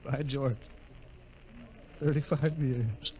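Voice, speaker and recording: A man's voice: mid-range voice, drawn-out words, loud music behind the voice. A man playing an affable rocket captain and devoted son in a 1950s radio drama, hearty in manner. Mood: cheerful. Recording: noisy old radio recording.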